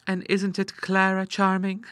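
A man's voice: high-pitched, completely flat delivery, measured pace, fairly bright tone, dry recording, voice pushed up. A man voicing a mischievous, bold young lady in an audiobook, with a gushing, teasing, girlish manner. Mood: gleeful. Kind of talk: audiobook character acting.

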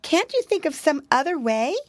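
A woman's voice: high-pitched, very animated, moderate pace, no echo, voice pushed up. A woman voicing a timid little girl mouse who frets and worries. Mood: anxious. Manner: fretful, breathless worrying.

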